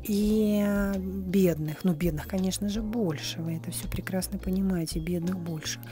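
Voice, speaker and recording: Hoarse middle-aged woman, phone vlogger, candid and chatty with whispered asides, faint music underneath. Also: on the low side, steady tone, normal speed, warm tone. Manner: chatty, frank, playfully crude, drops to whispery asides. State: slightly hoarse. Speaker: vlogger, psychologist. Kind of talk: casual travel vlog, room tour. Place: hotel and city streets in and out of doors.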